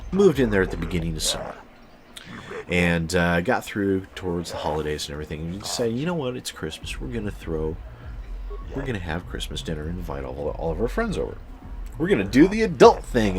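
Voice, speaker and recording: A man telling a casual, rambling personal story as a channel host, swearing freely with exaggerated emphasis. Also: low-pitched.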